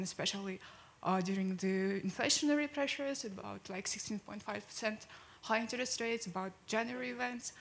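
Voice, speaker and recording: A young woman, haltingly asking a question from the audience into a stand microphone. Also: mid-range voice, a little hiss or hum. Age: young adult. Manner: hesitant, halting. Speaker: audience questioner, teaching assistant. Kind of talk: asking a question at a public event.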